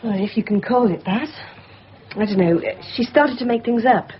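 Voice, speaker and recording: A woman's voice: a little high, normal speed, slight background noise, close to an everyday voice. Woman playing a plain-spoken hospital care worker. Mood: puzzled, reflective. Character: puzzled, down-to-earth female hospital care worker. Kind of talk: naturalistic radio drama acting. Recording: dull lo-fi radio recording.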